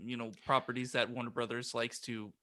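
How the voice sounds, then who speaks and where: A man's voice: mid-range voice, flat delivery, a little fast, bright, forceful tone, dry recording. A man co-hosting a podcast in casual chat, hesitating and repeating his words as he goes. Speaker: podcast co-host.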